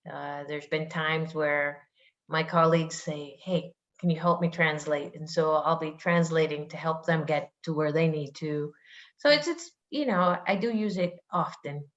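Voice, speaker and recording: A woman's voice: low voice, moderate pace, highs cut off. A woman guest in a casual video chat, talking in a plain, matter-of-fact way.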